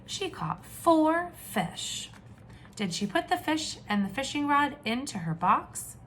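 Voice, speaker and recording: A woman teacher reading aloud to young children, with expressive questions and drawn-out emphasis. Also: high-pitched, a little hiss or hum. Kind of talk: children's read-aloud lesson.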